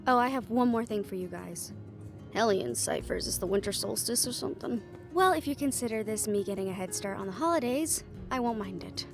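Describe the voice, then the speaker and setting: A woman's voice: medium pitch, a little fast, close to an everyday voice. A woman playing a witty, confident young female adventurer in a playful, conversational audio roleplay. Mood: playful teasing. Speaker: amateur voice actor. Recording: music behind the voice.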